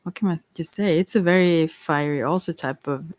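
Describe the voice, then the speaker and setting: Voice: mid-range voice, normal speed, no echo. Rambling, hesitant tarot reader, dry close sound. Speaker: tarot reader on a live stream. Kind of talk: casual improvised tarot reading chat.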